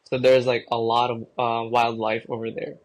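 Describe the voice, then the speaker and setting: A young man from the Philippines, a podcast guest talking about his birds in a relaxed, rambling way with lots of fillers. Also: medium pitch, moderate pace, rough recording. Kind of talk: casual chat.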